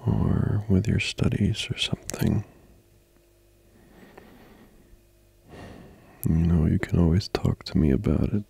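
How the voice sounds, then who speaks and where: A man playing a tender, comforting boyfriend in an intimate ASMR roleplay, breathy and often whispering, with slow, soothing murmurs. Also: deep voice, mellow, exaggerated voice. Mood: soft, reassuring.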